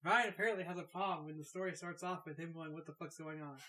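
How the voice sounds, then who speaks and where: A man's voice: on the high side, fairly even intonation, fast talker, bright, forceful tone. A man chatting casually as a co-commentator on a video game let's play. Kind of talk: casual gaming chat.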